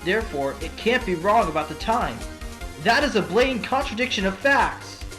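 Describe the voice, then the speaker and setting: A man's voice: high voice, animated, over music. A man voicing an earnest, determined fox defense attorney in a courtroom audio drama, arguing with emphasis. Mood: accusing. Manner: emphatic, argumentative, dramatic pauses.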